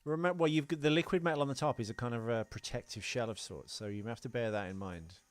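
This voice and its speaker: A man's voice: mid-range voice, fast, no echo. A man co-hosting a podcast, talking casually about films in a rambling, wry, explanatory way. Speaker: podcast co-host. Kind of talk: casual film discussion.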